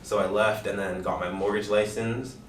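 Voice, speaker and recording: A man's voice: fairly low pitch, little pitch movement, moderate pace, slight background noise. A nineteen-year-old man, a mortgage agent, talking in a chatty, slangy way. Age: young adult. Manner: laughing, chatty, slangy ("type of thing"). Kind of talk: casual group chat. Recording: echoey room, mic at a distance.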